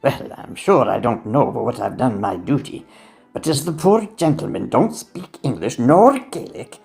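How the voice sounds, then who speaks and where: A gravelly, homely train servant of Victorian days, dutiful and plain-spoken. The voice bobs about in pitch, with faint music behind it in this audio drama. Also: male voice, animated, a little slow, a little mellow. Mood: patient, put out. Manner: homely, rustic grammar. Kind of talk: period farce audio drama acting.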